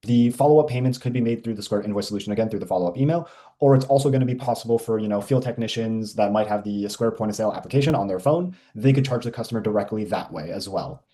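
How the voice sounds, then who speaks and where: A man walking through a software feature in a casual screen-share demo, with frequent ums and uhs. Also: on the low side, fast talker.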